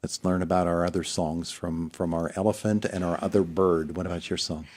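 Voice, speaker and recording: A man with a very low voice hosts a morning radio program from the studio. He chats casually with guests, and his questions come out halting and full of "uh" and "um.". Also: flat delivery, darkish tone. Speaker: radio morning show host. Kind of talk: casual interview.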